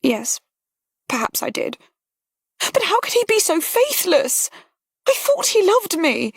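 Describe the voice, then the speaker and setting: A woman's voice: very high voice, big pitch swings, takes it slowly, no room sound, clean studio sound, pitched above the performer's own voice, heavily acted. A woman reading an audiobook, voicing a fragile young noblewoman who talks in a breathless, tremulous, pleading way. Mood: plaintive. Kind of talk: audiobook character voice.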